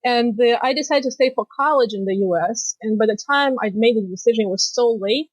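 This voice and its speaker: A woman from Moldova with a Russian accent, native Romanian speaker, telling her story as an interview guest with lively storytelling. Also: fairly high pitch, brisk, dry recording, highs cut off. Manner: lively storytelling, laughs easily. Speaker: guest, financial planner. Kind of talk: casual interview chat.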